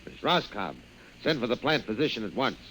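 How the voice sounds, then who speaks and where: A man's voice: mid-range voice, very animated, fairly quick, sharp tone. An actor playing a sceptical, condescending male boss with a brusque manner, in old-time radio drama dialogue. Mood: placating. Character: sceptical male boss, impatient, then soothingly dismissive. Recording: faintly noisy old radio recording.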